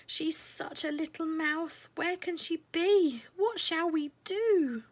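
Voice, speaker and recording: A woman's voice: extremely high pitch, slow pace, no room sound, pitched above the performer's own voice. A woman voicing a genteel, worried mother mouse in a storybook, plaintive and drawn out. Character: worried mother mouse, genteel lady fretting over little daughter.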